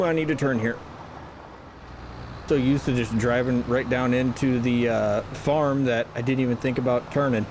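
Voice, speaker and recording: A man's voice: mid-range voice, slight background noise. A man with a stuffy nose, talking through his moves in casual, rambling gameplay commentary and often correcting himself. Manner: rambling, self-correcting, chatty. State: stuffy from a sinus infection. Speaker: gaming channel host.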